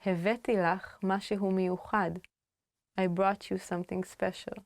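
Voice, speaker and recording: A playful woman hosting a video language lesson, upbeat and chatty. Her voice sits at a medium pitch and is recorded close and dry, with no room sound. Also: little pitch movement, quick pace, no echo. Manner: playful and upbeat, with frequent laughs. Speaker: language teacher.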